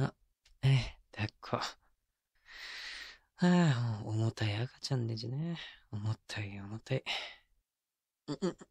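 A man playing a teasing, doting young boyfriend in an intimate ASMR roleplay, talking casually and playfully with bits of baby talk, close to a binaural mic. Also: no room sound. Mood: teasing, tender. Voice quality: mid-low voice. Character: teasing, doting young boyfriend babying his exhausted, clingy girlfriend. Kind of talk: intimate ASMR roleplay drama, addressed to the listener. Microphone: close binaural microphone.

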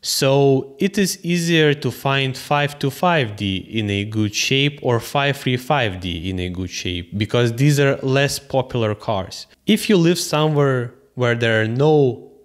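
A man's voice: medium pitch, a little slow, few pauses. A young man from Lithuania with a Lithuanian accent, chatting eagerly about cars to camera from his bedroom, in slightly broken English. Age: young adult. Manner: animated, gestures a lot, slightly broken English. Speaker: car vlogger. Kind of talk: casual enthusiastic chat to camera. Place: bedroom, indoors. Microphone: studio mic on a stand.

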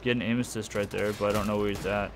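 This is a man with a fairly low voice, commenting casually over a game. He talks in slang and grumbles with exasperation. Shooter gunfire is clearly audible behind him. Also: level tone, quick pace, a little hiss or hum. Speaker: video game player and commentator. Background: shooter game gunfire and military chatter.

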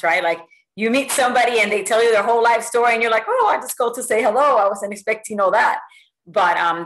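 A middle-aged woman from Puerto Rico giving a lively, motivational talk to her sales team over a video call, full of stories and fillers. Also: medium pitch, low-quality recording. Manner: lively, animated storytelling with many fillers. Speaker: sales executive speaking to a team. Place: home room with bookshelves. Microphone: video call webcam.

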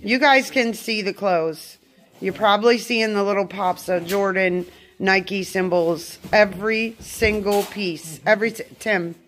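A woman's voice: medium pitch, steady tone, a little slow, fairly bright tone, slight background noise. Chatty woman vlogging from a storage unit. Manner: chatty, exclaims often, laughs easily. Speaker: vlog host. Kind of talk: casual chat to viewers while unpacking. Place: metal storage unit, indoors.

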